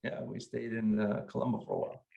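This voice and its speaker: A man's voice: lowish voice, completely flat delivery, quick pace, gravelly, warm tone, highs cut off, slight background noise. A man in his fifties chatting casually on a group call in a blunt, emphatic way. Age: 50s. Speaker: participant in group planning call. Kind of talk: casual chat.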